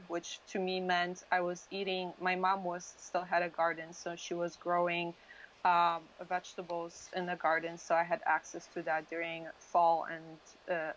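The sound is a dull, lo-fi video call. A woman from Poland, a native Polish speaker, talks in a fairly low voice. She tells her own story casually, in a halting, rambling way. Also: fairly bright tone, slight background noise. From Poland, moved to US at thirteen. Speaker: interview guest. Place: dim indoor room, video call. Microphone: wired earphone mic.

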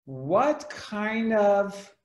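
A man in his forties speaks slowly to a webcam in a room at home, asking question after question with broad hand gestures. His voice sits a little high. The room adds a noticeable echo. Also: big pitch swings, muffled recording. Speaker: spiritual teacher. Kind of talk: spiritual discourse to camera. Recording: echoey room.

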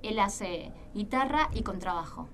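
A woman's voice: medium pitch, expressive, a little slow, a loud crowd. A woman from Salta with an Argentine accent, a guest guitarist chatting casually in a radio interview, hesitant and full of fillers. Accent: Argentine Spanish. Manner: hesitant, many fillers and restarts. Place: radio studio. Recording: distant mic, faint room noise.

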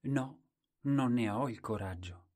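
A man's voice: mid-range voice, a little slow, pitched above the performer's own voice, lightly acted. A man voicing a humble, simple-minded young man at a royal court, speaking meekly and crying out eagerly. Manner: soft, humble, wide-eyed replies, cries out eagerly.

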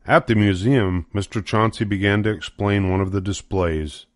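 An older man with a low voice reads a children's storybook aloud. The recording is dry and close, with the narration carrying the story. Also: moderate pace, no room sound. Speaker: storybook reader.

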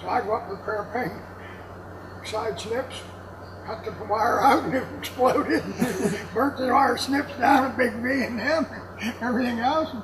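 A man's voice: very high voice, moderate pace, over a noisy crowd. A man in his seventies rambling through casual anecdotes in a folksy, salty way. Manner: folksy, salty, laughs while telling stories. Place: indoors in a warehouse with block walls. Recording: clearly noisy warehouse room ambience.

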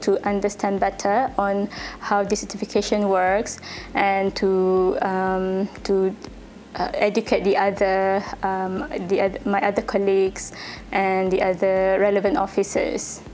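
Young female interviewee, Bruneian accent, very even intonation, hesitant. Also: medium pitch, a little slow, a little hiss or hum. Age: young adult. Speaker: interviewee, trainee. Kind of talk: interview answers. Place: indoor office room.

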